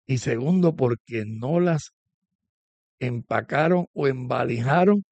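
A man's voice: mid-range voice, animated, takes it slowly, creaky, darkish tone, dry recording, highs cut off. A man hosting a conversational religious interview. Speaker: host.

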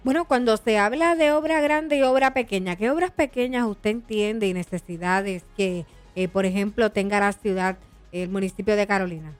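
A woman's voice: medium pitch, moderate pace, faint music behind. Woman radio host asking questions. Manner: casual, direct questioning.

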